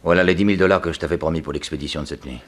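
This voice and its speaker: An actor voices a ruthless male spy from a 1960s French radio thriller. His voice sits very deep. He speaks in curt, menacing phrases, like a cold schemer giving orders. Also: fairly even intonation, rapid-fire, natural voice. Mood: cold, calculating.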